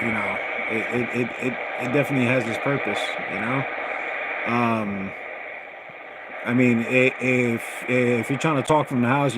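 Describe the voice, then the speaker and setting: A man with a medium-pitched voice chats casually on a livestream. He rambles in a folksy, halting way, restarting his phrases. Loud radio static and noise run behind him. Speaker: livestream host, radio hobbyist. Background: noisy shortwave radio signal.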